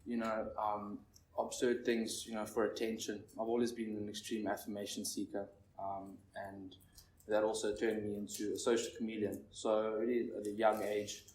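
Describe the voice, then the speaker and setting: A nervous young man in his twenties from Zimbabwe, sharing his recovery story at a meeting in a halting, rambling way. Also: fairly low pitch, flat delivery, a little mellow. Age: 20s. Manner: halting, rambling, heavy on fillers. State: nervous, shaky. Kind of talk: personal recovery share. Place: meeting room with an audience. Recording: echoey room, distant mic, faint noise.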